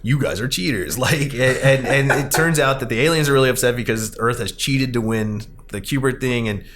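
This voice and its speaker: A man hosting a casual movie discussion podcast, talking in an animated, emphatic, rambling way. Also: mid-range voice, normal speed, a little hiss or hum. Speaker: podcast host.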